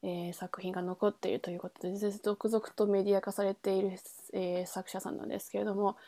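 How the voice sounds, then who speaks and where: A woman's voice: medium pitch, level tone, fast talker, dark tone. A woman hosting a casual book chat podcast, talking in a chatty way and thinking aloud as she goes. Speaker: podcast host; singer.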